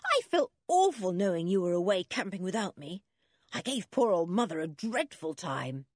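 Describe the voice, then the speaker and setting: Woman playing a hearty, animated tomboy girl. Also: fairly low pitch, moderate pace, no room sound, clean studio sound. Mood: exuberant. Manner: hearty, emphatic. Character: bold, hearty tomboy girl George, exuberant.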